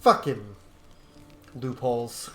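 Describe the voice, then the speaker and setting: A man's voice: mid-range voice, slow. A man giving casual gameplay commentary as a streamer, informal, with swearing and joking asides. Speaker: game streamer. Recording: roomy echo on voice. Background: faint game music.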